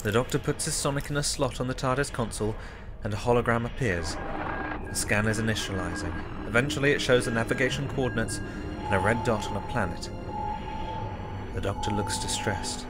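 A man's voice: mid-range voice, brisk, loud music behind the voice. A man reading scripted narration for an audio drama.

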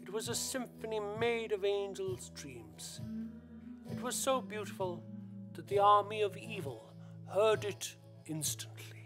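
A man reciting scripted narration for a radio play in theatrical, measured phrases. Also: sits high, lively intonation. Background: music.

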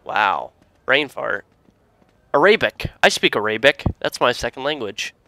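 A young man giving casual, excitable commentary while playing a video game, joking and swearing freely. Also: medium pitch, measured pace, gravelly. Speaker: let's play gamer.

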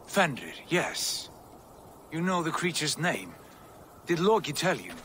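Game role: compassionate warrior god, forceful, slightly high male voice, formal and earnest, faint ambience behind. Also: very animated, takes it slowly, slight background noise, heavily acted. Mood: grave, sympathetic. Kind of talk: video game cutscene acting.

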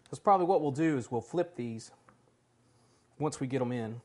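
A man's voice: mid-range voice, normal speed, a little mellow. Chatty middle-aged man cooking at home. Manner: chatty, enthusiastic, talks while working. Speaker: cooking show host. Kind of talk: casual cooking demonstration. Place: large home kitchen.

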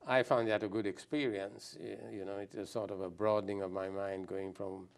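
An older man from Sri Lanka, a guest answering interview questions thoughtfully, with many pauses and restarts. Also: on the low side, fairly even intonation, creaky. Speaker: guest anthropologist.

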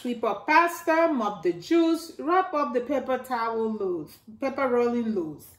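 A middle-aged woman reading a rhyming picture book aloud to children in a slow sing-song way, filmed indoors at home by a camera a few feet away. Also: fairly high pitch, lively intonation. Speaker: storytime reader. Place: living room by a staircase, indoors. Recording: echoey room.

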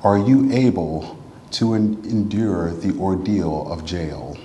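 A man reading a written text aloud in a formal, oratorical style, with measured, deliberate and emphatic phrasing. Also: sits low, warm tone, slight background noise. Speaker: reader at a church event. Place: large church interior. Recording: reverberant large hall.

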